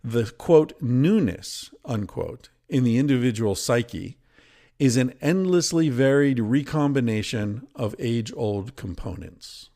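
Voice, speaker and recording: A man with a mid-pitched voice and a noticeable creak at the edges. He speaks as a host reading prose aloud, deliberate and measured, and comments on it in an informal way. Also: animated, warm tone. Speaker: podcast host and reader. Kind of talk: reading a book excerpt aloud with casual asides.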